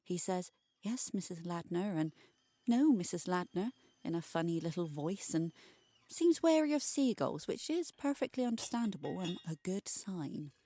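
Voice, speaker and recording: A woman narrating a scripted bedtime short story for adults, expressive and wry. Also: lowish voice, normal speed.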